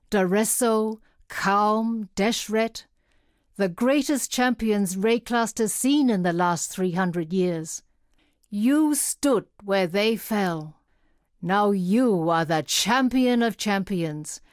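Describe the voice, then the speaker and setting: A woman performs a solemn, unyielding female leader of a tribe for a video game. She speaks slowly and formally, weighing each statement with stern dignity. Her pitch sits in the middle for a woman, and the sound is dry, with no room around it. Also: no echo, close to an everyday voice. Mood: solemn, grateful. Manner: formal, measured, grave, declarative delivery. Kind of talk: scripted video game character voice acting.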